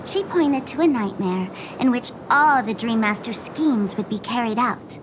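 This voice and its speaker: A woman's voice: on the high side, measured pace, background noise, close to an everyday voice. A woman playing a cheeky, impish informant and self-styled fool in a video game, playful, teasing and dramatic. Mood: confiding. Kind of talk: animated video game dialogue. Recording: loud background music.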